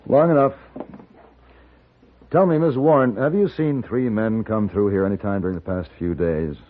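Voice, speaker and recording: An actor playing a cultured, wry, self-assured American gunfighter who narrates and acts in a radio western. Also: male voice, lowish voice, dry recording, phone-quality sound, natural voice. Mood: urbane, wry. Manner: urbane, measured, wry. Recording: thin 1950s radio recording, music behind.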